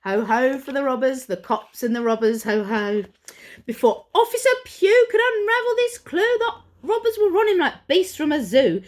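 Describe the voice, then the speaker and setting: A woman reading a rhyming picture book aloud to children in a theatrical, playful way. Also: very high-pitched. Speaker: primary school storyteller.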